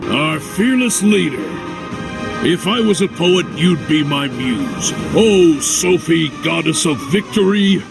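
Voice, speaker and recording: An actor playing a wry, laid-back comrade-in-arms with a gravelly, theatrical drawl in a game cutscene, over music. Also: male voice, on the high side, animated, slow, full character voice. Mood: playful flattery. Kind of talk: video game cutscene acting. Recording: loud music behind.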